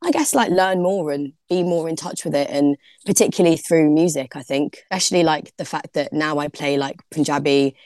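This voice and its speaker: A woman's voice: low-pitched, a little fast, hardly any pauses, a little mellow, no room sound, clean studio sound. A young woman, a DJ guest on a podcast, chatting casually and filling her talk with "like". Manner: chatty, heavy use of "like", laughs easily. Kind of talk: casual interview chat.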